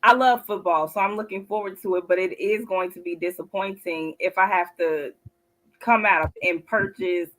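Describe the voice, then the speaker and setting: A woman co-hosting a casual sports talk show, chatty and quick to laugh. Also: on the low side, fairly bright tone, low-quality recording. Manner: chatty, laughs often, drawn-out asides. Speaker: co-host.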